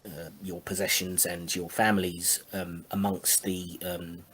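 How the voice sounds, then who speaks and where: A man in a casual critical panel discussion, speaking in a halting, deliberate and sceptical way. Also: low voice, level tone, a bit bright, husky, poor audio. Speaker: panel commentator.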